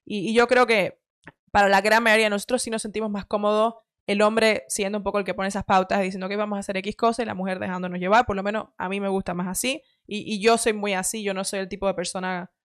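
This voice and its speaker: A woman in her thirties with a light Cuban accent, giving quick, chatty, opinionated commentary into a studio mic at home. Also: medium pitch, fairly even intonation, dry recording. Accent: light Cuban Spanish. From Cuba. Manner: quick, chatty, opinionated, frequent self-corrections. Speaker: host reacting to clips. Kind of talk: casual commentary. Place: home studio room with shelves. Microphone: headset with studio mic on arm.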